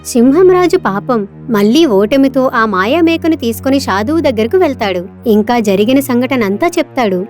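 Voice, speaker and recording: A woman's voice: fairly high pitch, animated, normal speed, darkish tone, faint music behind. A woman reading scripted children's story narration as the storyteller.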